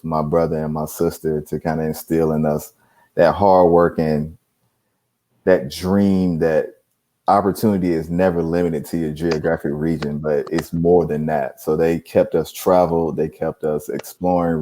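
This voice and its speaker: A man's voice: rumbling low, little pitch movement, normal speed, warm tone, slight background noise. A man from Georgia, talking easily about growing up as an interview guest. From Dixie, Georgia. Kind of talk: interview, personal background.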